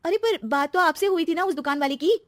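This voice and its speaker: An expressive young married woman, acted by a female storyteller, with a high, lifted voice and a close, dry sound. She is chatty, excitable and quick to take offence, in the manner of a domestic story. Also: dry recording. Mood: worried, defensive.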